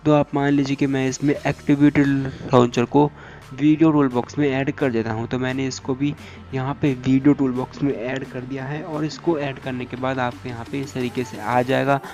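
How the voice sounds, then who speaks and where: Even-toned man giving a tech tutorial. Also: medium pitch, moderate pace, faint music behind. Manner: repetitive instructional phrasing, English tech terms mixed in. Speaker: tech YouTuber. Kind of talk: step-by-step phone feature tutorial.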